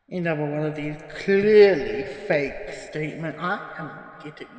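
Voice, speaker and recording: A man's voice: on the high side, darkish tone. A man playing a jaded, sceptical paranormal archivist dictating case notes in a parody horror audio drama, weary and dismissive. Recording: echoey room with long reverb.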